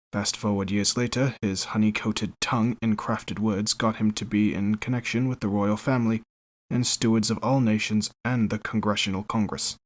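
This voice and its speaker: An actor playing a wry veteran knight captain in a fantasy audio drama, storytelling in a conversational way. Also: male voice, lowish voice, lightly acted.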